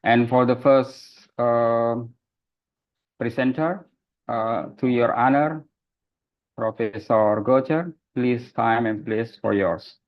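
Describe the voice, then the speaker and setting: A man moderating a webinar, opening it formally and halting often with fillers while greeting the speakers. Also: mid-range voice, fairly even intonation, dull audio. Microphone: video call.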